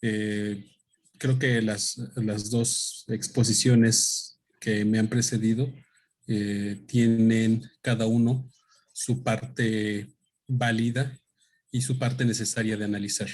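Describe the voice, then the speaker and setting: A man's voice: on the low side, flat delivery, takes it slowly, a little mellow. Hesitant man presenting a book. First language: Spanish. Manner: thoughtful, hesitant, with many fillers and restarts. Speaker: author presenting his book. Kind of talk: unscripted talk at a book presentation. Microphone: microphone.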